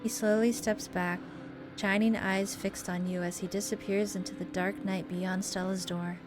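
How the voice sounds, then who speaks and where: A woman doing a chatty, expressive playthrough, reading a story game aloud and commenting as she goes. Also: mid-range voice, moderate pace, over music. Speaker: gamer host reading a visual novel aloud.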